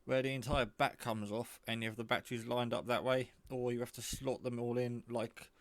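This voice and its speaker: A man talks through a gadget in an informal, rambling way. His voice sits at an ordinary middle pitch, and the sound is fairly dry and close. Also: little pitch movement, a little fast, no echo. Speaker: radio hobbyist showing a handset. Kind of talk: casual product show-and-tell.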